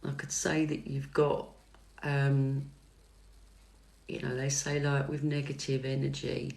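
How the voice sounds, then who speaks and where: A middle-aged woman chats with viewers during a livestreamed tarot reading, her voice soft and calming. She speaks slowly, with a gravelly texture, pausing on fillers and restarting sentences as she answers comments. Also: rumbling low. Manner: hesitant, many fillers and restarts. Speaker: psychic tarot reader. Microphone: phone livestream mic.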